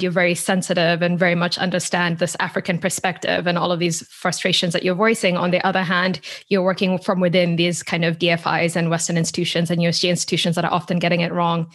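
A woman with a lowish voice co-hosts a podcast interview. She asks long questions at a measured pace and builds up to each one with care. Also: fairly bright tone. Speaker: podcast co-host.

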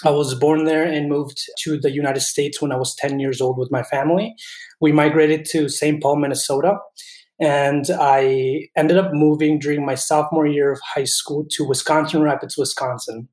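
A man's voice: fairly high pitch, steady tone, moderate pace, darkish tone, roomy sound. Earnest young man from Colombia. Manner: earnest, thoughtful, with many restarts. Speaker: veterinary student guest. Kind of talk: interview answers.

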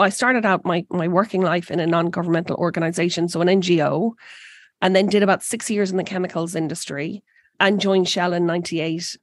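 Irish executive guest, forties, eager talker, dry close mic. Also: female voice, medium pitch, dry recording. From Ireland. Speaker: energy company president, guest. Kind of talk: interview conversation.

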